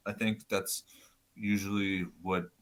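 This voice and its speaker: A man guest on a podcast, talking loud and casual, swearing often and rambling as he goes. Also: fairly low pitch, little pitch movement, takes it slowly, noisy background. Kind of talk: casual chat.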